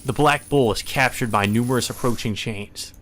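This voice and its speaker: A man's voice: mid-range voice, moderate pace, fairly bright tone. A man reading a visual novel aloud as solemn, dramatic scripted narration. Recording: music behind the voice.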